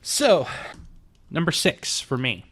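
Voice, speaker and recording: Laughing, animated male podcast co-host. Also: on the high side, takes it slowly, breathy edge. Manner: laughs often, animated and joking. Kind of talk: casual chat.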